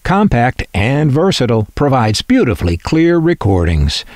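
A man narrating scripted catalog copy in a measured, enunciated announcer-like manner. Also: medium pitch, very animated, darkish tone, dry recording, studio quality.

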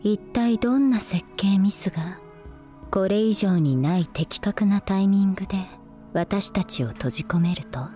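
Slow, soft, deadpan senior schoolgirl acted by a woman. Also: medium pitch, faint music behind, close to an everyday voice. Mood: cool, deadpan. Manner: deadpan, soft-spoken, unhurried, occasionally whispery. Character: cool, devoted, eerily calm dark-haired female senior student. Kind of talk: ASMR voice drama acting. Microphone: close binaural microphone.

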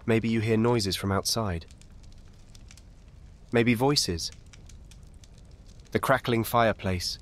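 A man with a fairly low voice guides a meditation. He speaks gently and without hurry, in short measured phrases. Long pauses sit between his instructions. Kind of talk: slow guided meditation narration.

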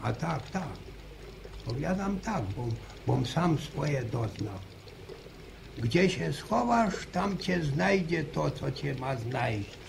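An actor playing an old village grandfather who tells a slow, pious story in halting rustic speech, in a radio drama. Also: on the high side, sing-song, vocal fry, background noise, heavily acted. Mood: storytelling. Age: older. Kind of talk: 1960s radio drama acting.

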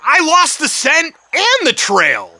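An actor voicing a friendly, excitable dog in a children's cartoon, speaking in an eager, exclamatory way. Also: high-pitched, slow pace, background noise, heavily acted. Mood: eager, urgent. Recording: background music.

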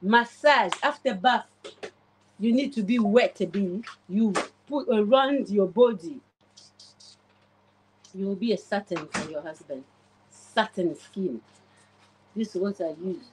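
A woman's voice: mid-range voice, takes it slowly, long pauses, slight background noise, low-quality recording. A woman in her forties chatting casually on a livestream while she cleans her oven, greeting viewers by name. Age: 40s. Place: home kitchen, indoors. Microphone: phone camera pointed at the oven.

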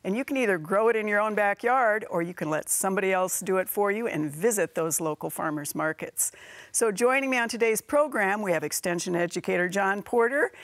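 A middle-aged American woman presents a gardening talk show. Her voice has noticeable fry at the edges. It is picked up very dry on a studio lapel mic. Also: medium pitch, expressive, brisk, dry recording. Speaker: TV host.

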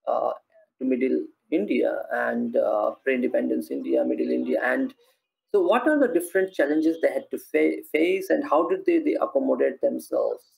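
A man's voice: medium pitch, moderate pace, creaky, darkish tone, rough recording. A man hosting an interview over a video call, asking a hesitant, rambling question full of fillers. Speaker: interviewer, host. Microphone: video call webcam.

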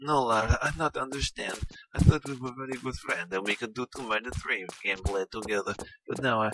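A man voicing a prim, bewildered male game streamer who stammers in halting broken English. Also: low-pitched, background noise, natural voice. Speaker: gameplay host. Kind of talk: improvised comedy gameplay commentary.

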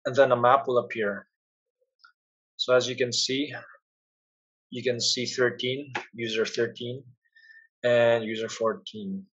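A man presents a step-by-step software walkthrough in a plain, instructional way. His voice is a little husky and sits at a medium pitch. The recording is somewhat dull and muffled. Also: steady tone, slow pace, pauses often. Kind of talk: step-by-step screencast narration.

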